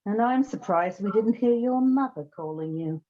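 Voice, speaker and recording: A woman playing a quick-witted, sarcastic private detective in a comic radio play, in a slightly muffled recording. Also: medium pitch, animated, close to an everyday voice. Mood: wry. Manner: wry, sarcastic. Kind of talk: comic old-time radio play acting. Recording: slightly dull, muffled sound.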